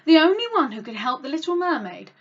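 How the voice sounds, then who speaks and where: A woman reading a children's story aloud as host, with a dramatic, expressive read-along delivery. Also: high-pitched, fast, lo-fi sound. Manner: dramatic, animated delivery for young listeners.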